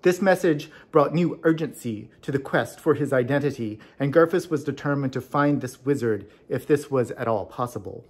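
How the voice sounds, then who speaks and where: A man's voice: medium pitch, animated, normal speed, hardly any pauses, husky. A man introducing and reading a story aloud in a storytelling style.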